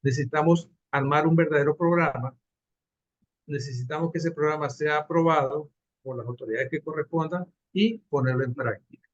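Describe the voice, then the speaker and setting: A man from Venezuela presenting a project in an online class, earnest and halting, with fillers. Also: a little high, no echo. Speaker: course participant presenting a project. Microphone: video call. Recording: dull dry video call audio.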